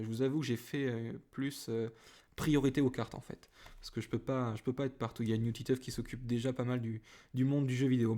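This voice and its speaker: A man's voice: medium pitch, a little mellow. A man chatting to his viewers while opening card packs, talking casually with many hesitations and self-deprecating, apologetic asides. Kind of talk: casual chat to camera.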